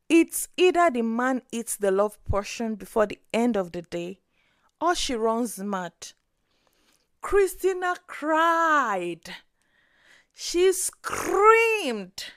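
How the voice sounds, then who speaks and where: A woman narrating a scripted story in an expressive, dramatic way. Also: on the high side, dry recording, clean studio sound.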